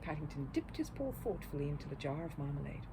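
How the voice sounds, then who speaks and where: A woman reading a children's story aloud as an expressive narrator, with noise in the recording. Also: low-pitched, fast talker. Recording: clear background noise.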